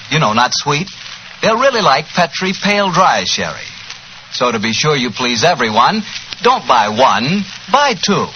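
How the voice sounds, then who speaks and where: A man with an American accent works as a 1940s radio announcer. His voice is fairly high, forceful and very lively, with polished, folksy sales delivery. The sound is the dulled band of an old broadcast. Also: a little hiss or hum. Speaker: radio announcer and sponsor's pitchman. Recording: muffled 1940s radio recording.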